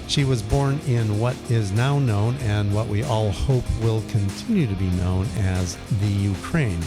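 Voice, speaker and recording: A man hosting a podcast and reading a scripted introduction of his guest. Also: lowish voice. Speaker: podcast host. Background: guitar music.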